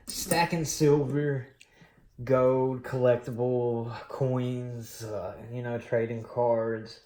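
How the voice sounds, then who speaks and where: A man in his late thirties rambles through a personal story for his coin collecting vlog. He talks slowly and loosely, with lots of pauses and fillers. His voice echoes around a small room. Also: medium pitch, darkish tone. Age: late 30s. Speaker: coin collecting channel host. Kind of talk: casual personal storytelling while unboxing.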